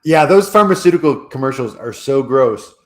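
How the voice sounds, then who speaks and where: A man with a middle-pitched voice co-hosts a casual livestream. He explains his views earnestly and at length. He often stammers and restarts his sentences. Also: lively intonation, normal speed.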